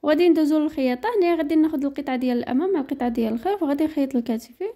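Moroccan lady talking thru a sewing tutorial super fast, high mellow voice, just reading off the numbers. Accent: Moroccan Arabic. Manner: brisk, matter-of-fact, reading out measurements. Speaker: sewing teacher. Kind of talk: step-by-step sewing tutorial voice-over.

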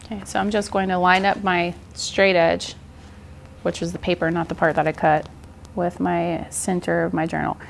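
A woman's voice: fairly low pitch, level tone, normal speed, vocal fry, a little hiss or hum. A woman in her forties giving an informal, encouraging art journaling tutorial in a casual style. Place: indoor art studio, seated at work table.

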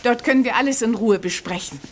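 An actress playing a polite, busy, earnest middle-aged shop owner in an audio drama. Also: female voice, fairly high pitch, fast, music in the background, close to an everyday voice. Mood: businesslike. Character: busy, worried female bookshop owner, polite.

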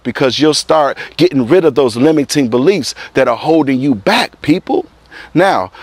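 A man's voice: mid-range voice, no room sound. A middle-aged man giving a motivational talk to camera outdoors on a lapel mic, preachy and repetitive, calling his viewers "people". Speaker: motivational vlogger. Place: outdoors in front of evergreen trees. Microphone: clip-on lapel mic.

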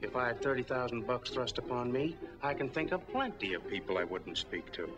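An actor playing a grumpy, wisecracking middle-aged reporter in a 1940s film, blunt and cynical. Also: male voice, mid-range voice, lively intonation, fairly quick, close to an everyday voice. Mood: grumbling, sardonic. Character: grumpy, cynical veteran male newspaper reporter. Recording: muffled 1940s film soundtrack, music.

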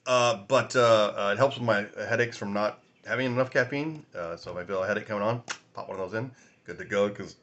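A man in his forties with a raspy voice from a sinus infection, rambling casually through a whiskey tasting vlog at home, filming on a phone at arm's length. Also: on the low side, fairly bright tone. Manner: rambling, chatty, laughs often, many fillers. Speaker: whiskey review host. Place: small room at home, indoors.

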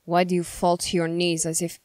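A woman voicing a stern, suspicious young male witch hunter in an ASMR roleplay, speaking formally and accusingly with deliberate pauses. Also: on the low side, monotone, a little mellow, dry recording, studio quality, close to an everyday voice. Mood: suspicious, cold. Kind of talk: ASMR character roleplay, scripted.

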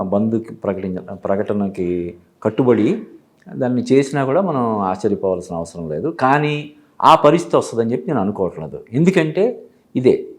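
A man giving explanatory commentary as an interview guest, halting and repeating phrases as he goes. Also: lowish voice, darkish tone. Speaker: film industry analyst, studio guest. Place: TV studio.

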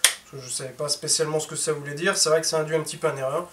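A man's voice: a little high, steady tone, racing. Chatty man in an echoey workshop. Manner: chatty, informal, addresses viewers as "les gars". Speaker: woodworking workshop host. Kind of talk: casual tool unboxing talk. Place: cluttered home workshop indoors. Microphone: camera mic from a distance. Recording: roomy echo, distant mic, faint noise.

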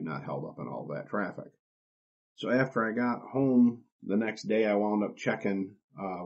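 Low-voiced man hosting a trucking podcast. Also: darkish tone. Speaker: podcast host, truck driver. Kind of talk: conversational podcast monologue. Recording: dull lo-fi audio.

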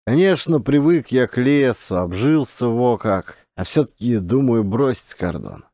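A man's voice: mid-range voice, animated, a little slow, warm tone, no echo, clean studio sound. A man voicing a small, meek, long-suffering peasant forester with mild, resigned, folksy rural speech in an audiobook. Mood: patient, wistful.

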